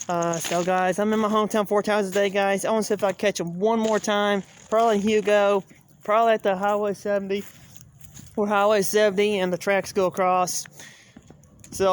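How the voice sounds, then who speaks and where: A man's voice: high voice, clean tone. A man vlogging from his car, rambling and restarting as he keeps calling out to the viewers. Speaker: railfan vlogger. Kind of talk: casual vlog talk to viewers. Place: inside a parked car by a highway. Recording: car radio music playing behind. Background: country music from the radio.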